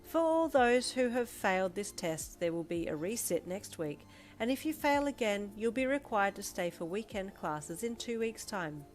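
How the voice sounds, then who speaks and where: A woman doing a brisk schoolteacher. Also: medium pitch, sing-song, soft background music, pitched above the performer's own voice, natural voice. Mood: businesslike. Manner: bright, projecting classroom announcements. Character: brisk female schoolteacher addressing class.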